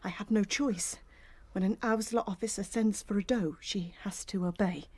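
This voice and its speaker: A woman playing a sorrowful, dignified and brave female rabbit in a radio drama, speaking gravely and with measure. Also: medium pitch, steady tone, moderate pace, darkish tone, close to an everyday voice. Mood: hushed, conspiratorial.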